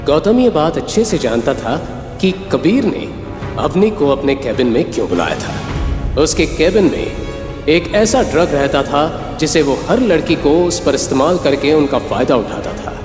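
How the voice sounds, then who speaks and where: A man's voice: on the high side, sing-song, a little slow. Male story narrator in long reverb. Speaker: audio series narrator. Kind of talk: dramatic scripted story narration. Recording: long reverb, music underneath. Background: clear background music.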